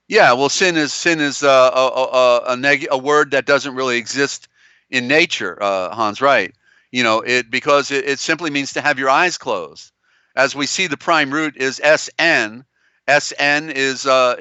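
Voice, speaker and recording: Male stream host, bright clear tone gone strained and tired, halting and rambling, dry slightly dull audio. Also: medium pitch, no room sound. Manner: halting, meandering delivery with many restarts. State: strained, tiring voice. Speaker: live show host. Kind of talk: live-stream lecture on old scriptures.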